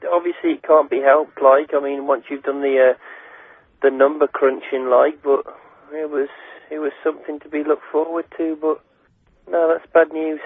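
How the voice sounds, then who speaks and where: Phone-in listener, young man, casual halting talk, narrow dry telephone sound. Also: a little high, level tone, no echo. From England. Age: young adult. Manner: hesitant, repeats words and adds "like" and "you know". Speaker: radio listener giving his reaction by phone. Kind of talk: casual chat. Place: phone call. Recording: tinny, dry phone line.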